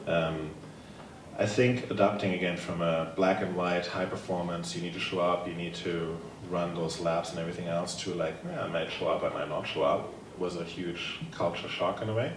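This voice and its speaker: A man's voice: deep voice, steady tone, moderate pace. A man from Germany with a German accent gives a conference presentation, telling stories in a casual, upbeat way and answering questions. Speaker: table tennis program leader presenting. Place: conference room. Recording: echoey room, from far off, noisy.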